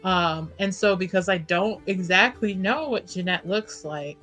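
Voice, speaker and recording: A woman's voice: on the low side, measured pace, a bit bright, soft background music. A woman chatting casually as a player in a tabletop roleplaying game, informal and thinking aloud, with the odd swear word.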